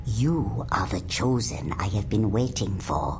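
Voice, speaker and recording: A woman voicing an ancient, all-seeing female prophet in a video game, speaking in a breathy, whispery way with slow, solemn pronouncements. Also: rumbling low, animated, background noise. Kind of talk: video game cutscene voice acting. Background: orchestral game music.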